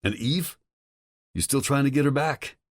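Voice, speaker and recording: A man's voice: medium pitch, expressive, unhurried, no echo, studio quality, voice pushed up. A man voicing an urgent, cursing brother. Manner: urgent, swearing, insistent. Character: agitated man warning his brother by phone.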